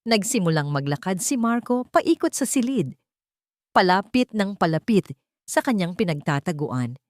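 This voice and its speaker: A woman's voice: medium pitch, sing-song, moderate pace, dry recording, studio quality. A woman narrating a scripted love story in a suspenseful, theatrical way.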